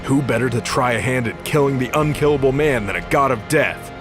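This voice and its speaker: A man performs a cold assassin god of death for an audio drama. He speaks at a medium pitch with taunting menace. Music is clearly heard behind him. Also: fairly quick, close to an everyday voice. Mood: menacing.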